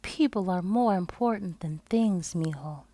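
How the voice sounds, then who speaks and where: A woman's voice: fairly low pitch, measured pace, mellow, slight background noise, close to an everyday voice. A woman voicing a kindly, affectionate elderly Central American grandmother in a children's storybook. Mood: tender.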